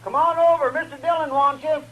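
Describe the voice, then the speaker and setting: An actor playing a folksy, deferential marshal's helper in a 1950s radio western. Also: male voice, extremely high pitch, brisk, low-quality recording. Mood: hollering. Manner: deferential, animated. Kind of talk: 1950s radio drama acting. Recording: hissy old 1950s radio recording.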